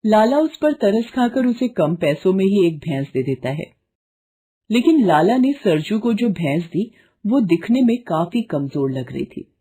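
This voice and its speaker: A woman's voice: medium pitch, big pitch swings, unhurried, mellow, slightly rough. A woman narrating a scripted moral story for children.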